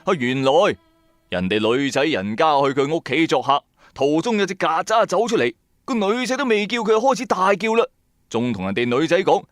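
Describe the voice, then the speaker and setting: A man narrating a radio drama in the first person, colloquial, wry and expressive. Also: fairly high pitch, fairly quick, dry recording, clean studio sound, faint music behind. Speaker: storyteller, narrator.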